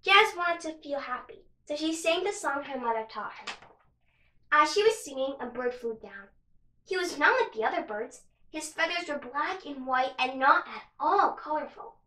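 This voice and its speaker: A woman giving an expressive read-aloud narration of a children's story. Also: sits high, normal speed. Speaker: storybook reader, actor. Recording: roomy, some distance from mic.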